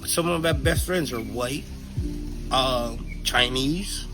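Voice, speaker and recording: A man's voice: mid-range voice, slow, over music, low-quality recording. A man from Hawaii with a Hawaiian Pidgin accent tells personal stories casually, in a candid, slangy, playful way. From Kahuku, Hawaii. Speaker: interviewee.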